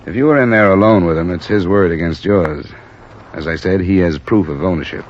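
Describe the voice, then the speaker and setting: An actor playing a decent, matter-of-fact insurance investigator in a 1950s radio drama, with a dry, level delivery. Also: male voice, low-pitched, a little fast, a little hiss or hum, lightly acted. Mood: firm. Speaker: lead and narrator. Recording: muffled old radio recording with music. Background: music score.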